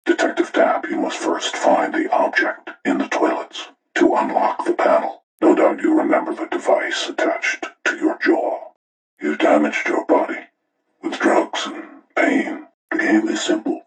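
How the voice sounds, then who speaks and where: Menacing older game villain, extremely low pitch, gravelly breathy rasp, deliberate, cold, moralizing, echoey recording. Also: male voice, expressive, soft background music, exaggerated voice. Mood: cold menace. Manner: deliberate, ominous, coldly moralizing. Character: cold, menacing older male serial killer mastermind setting deadly games. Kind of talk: sinister villain monologue for a horror video game.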